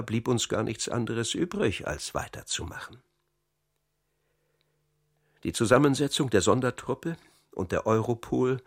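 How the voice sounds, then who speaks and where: An insider laying out a conspiracy in a radio crime drama. His voice is somewhat low and husky, his account measured and sober, sinking quieter at the gravest points. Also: heavily acted. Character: man confessing an insider conspiracy, calm. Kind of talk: radio drama, confessional narration.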